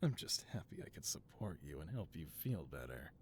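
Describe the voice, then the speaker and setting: A man's voice: very deep voice, sing-song, moderate pace, a little hiss or hum. A male voice actor playing an affable supervillain scientist boss in a scripted audio roleplay, talking in a doting, theatrical way. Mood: fond, reassuring. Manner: doting, theatrical, softly reassuring then grandiose. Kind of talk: scripted audio roleplay, one-sided conversation.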